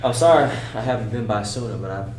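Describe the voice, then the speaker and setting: A man reading the part of an earnest, hesitant, respectful young man at a table read, halting and casual. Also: on the low side, a little mellow, lightly acted. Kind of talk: script read aloud at a table read. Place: roomy indoor table read. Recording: echoey room, distant mic, noisy hum.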